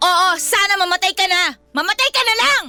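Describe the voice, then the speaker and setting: A woman's voice: extremely high pitch, fairly quick, sharp tone, exaggerated voice. An actress playing a jealous, combative young girlfriend in a Filipino radio drama, scolding with emphatic questions in a Filipino accent. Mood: furious, scornful.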